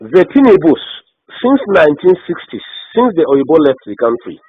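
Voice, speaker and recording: A man with a northern Nigerian accent speaks in a husky, fairly high voice. He is animated and insistent, pressing his points and checking he is followed. The phone recording is echoey and muffled. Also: moderate pace. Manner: insistent, holds the floor, repeatedly checks the listener follows. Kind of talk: heated political discussion on a phone call. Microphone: phone call. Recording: echoey, band-limited phone call recording.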